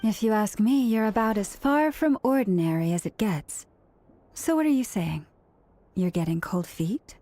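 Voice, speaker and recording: Woman as a mellow, level-headed adventurer. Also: mid-range voice, animated, normal speed, dry recording, close to an everyday voice. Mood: calm, supportive. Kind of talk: video game voice acting.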